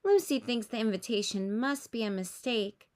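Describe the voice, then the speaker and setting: A woman reading a children's story aloud with expressive narration aimed at young listeners. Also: fairly high pitch, moderate pace. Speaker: storybook reader.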